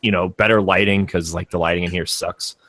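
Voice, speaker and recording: A man's voice: deep voice, steady tone, brisk, sharp tone, no room sound. A man chatting casually as a podcast co-host, in an informal, rambling way. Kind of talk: casual chat.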